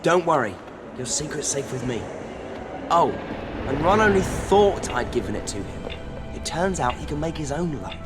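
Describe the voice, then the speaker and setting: An actor playing an earnest, loyal teenage schoolboy hero in a video game. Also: male voice, a little high, big pitch swings, normal speed, over music. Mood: confident.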